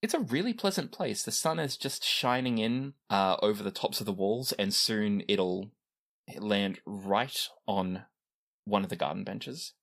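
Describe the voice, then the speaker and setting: A game master with a medium-pitched voice narrates a tabletop game. The delivery is improvised and conversational, with lively swings in pitch. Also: measured pace, gravelly.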